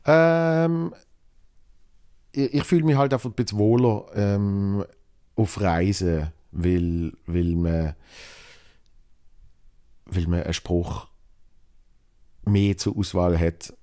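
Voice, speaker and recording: Man, young adult, Basel Swiss German accent, deep low voice, rambling solo podcast host, lots of fillers and restarts. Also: fairly even intonation, slow pace, pauses often, dark tone. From Switzerland. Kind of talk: solo podcast, reading listener mail.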